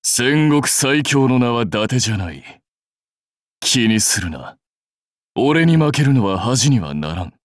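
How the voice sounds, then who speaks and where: An actor playing a proud, fierce warlord general in a mobile game, terse, rough and commanding. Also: male voice, mid-range voice, lively intonation, unhurried, no room sound, studio quality, lightly acted. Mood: smug, dismissive. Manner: terse, rough, declamatory delivery. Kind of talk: anime-style mobile game battle and dialogue voice lines.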